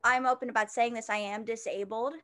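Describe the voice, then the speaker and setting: A young woman with a high-pitched voice, chatting as an interview guest, with many fillers and restarts. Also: fairly even intonation, fairly quick, a bit bright. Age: young adult. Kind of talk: casual interview chat.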